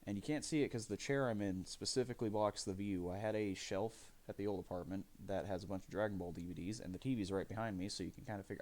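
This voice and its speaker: Raspy man rambling on a home stream. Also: low voice, a little mellow. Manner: meandering, hesitant, many restarts and fillers. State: raspy from phlegm. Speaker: live streamer. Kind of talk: rambling casual chat. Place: desk corner of a home living room.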